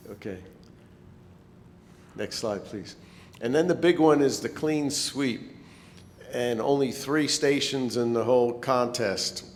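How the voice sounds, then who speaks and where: A man giving an informal, slightly hesitant club presentation in a meeting hall. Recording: echoey hall, rumbling background noise.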